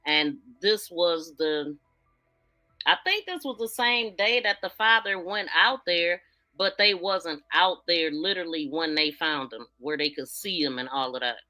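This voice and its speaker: Casting: American woman, informal livestream host, chatty commentary, bright forward voice, faint music underneath. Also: fairly low pitch, lively intonation, a little slow. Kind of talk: casual livestream commentary, reacting to played audio.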